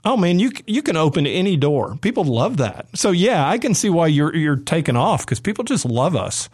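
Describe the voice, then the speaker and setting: A man's voice: on the high side, sing-song, a little mellow, slightly rough. A man from Atlanta with a light Southern accent, hosting a radio interview in a chatty, joking way. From Atlanta, Georgia. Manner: chatty and jokey, quick asides. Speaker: radio show host.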